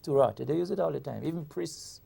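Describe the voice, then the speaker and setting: A man's voice: fairly high pitch, fairly quick, gravelly, warm tone, husky. A man, a poet from Grenada, talks through his work in an interview, often repeating words and restarting before he lands a point. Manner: explains with frequent repetitions and restarts. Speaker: poet and author. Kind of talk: conversational interview.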